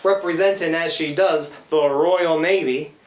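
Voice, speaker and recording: A man's voice: fairly high pitch, fairly even intonation, unhurried, background noise, natural voice. A man playing a scrappy, stubborn but devoted riverboat engine man in a radio play, with folksy, ungrammatical speech. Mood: confident. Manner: folksy, ungrammatical, hesitant when tender. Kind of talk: old-time radio play acting. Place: live theatre stage. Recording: roomy live stage sound.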